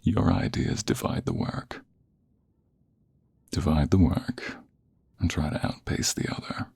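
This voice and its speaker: A man acting out a smug, flirtatious office rival for an ASMR romance. His voice is extremely deep and very husky, often sinking into a breathy half-whisper. He takes his time, teasing and seducing with dramatic pauses. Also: very animated, a little mellow, no echo, exaggerated voice. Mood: competitive, playful. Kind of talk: ASMR romantic roleplay, close and intimate.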